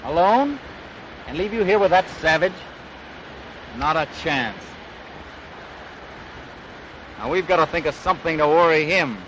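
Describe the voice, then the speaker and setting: An actor playing a resolute, protective castaway hunter in a brisk, confident, old-time radio drama style. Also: male voice, on the high side, expressive, a bit bright. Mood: defiant, intent. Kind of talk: 1940s radio drama acting. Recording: muffled, noisy old-time radio recording.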